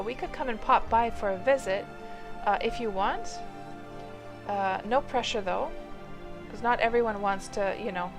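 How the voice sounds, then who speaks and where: Chatty woman streamer. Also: a little high. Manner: rambling, chatty, many fillers, answers chat viewers. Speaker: game livestreamer. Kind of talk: casual live-stream chat while playing. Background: music playing under the voice.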